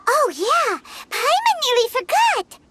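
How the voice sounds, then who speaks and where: A woman voicing a tiny childlike fairy companion in a video game, squeaky, chatty, blunt and insistent. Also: very animated, slow pace, exaggerated voice. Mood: chirpy, earnest. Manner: refers to herself by name, blunt, insistent. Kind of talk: video game voice acting, cartoonish. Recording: game music under the voice.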